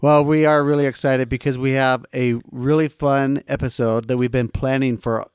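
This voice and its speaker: A man's voice: medium pitch, steady tone, normal speed, darkish tone, clean tone, no echo, studio quality. A young adult man co-hosting a casual podcast, easygoing and steering the talk. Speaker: podcast co-host.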